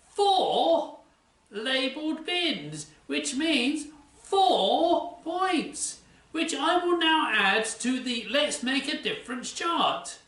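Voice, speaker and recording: Bouncy cartoonish doctor, as a presenter puts it on: slow, bright and sharp, heard from a distance. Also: medium pitch, heavily acted. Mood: cheery praising. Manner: sing-song, theatrical, exaggerated emphasis. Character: zany know-it-all science doctor, eager and bouncy. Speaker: children's segment presenter. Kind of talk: playful children's church show. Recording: roomy sound from a distant mic.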